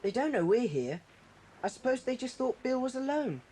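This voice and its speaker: High-voiced brave schoolboy. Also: big pitch swings, moderate pace, warm tone. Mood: grim, steady. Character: brave, steady schoolboy taking charge of his friends. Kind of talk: children's audio drama acting. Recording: slightly hissy 1980s cassette tape.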